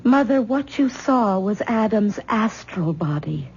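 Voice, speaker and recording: An actress playing an anxious young wife who pleads urgently, heard in a dull 1970s radio drama broadcast. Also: female voice, medium pitch, lively intonation, measured pace, a little mellow, husky. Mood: uneasy.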